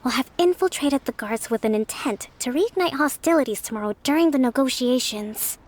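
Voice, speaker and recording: An actress playing a gentle, composed young female leader in a video game, with measured, formal, articulate speech. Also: high-pitched, animated. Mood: calm, self-blaming. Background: faint game background music.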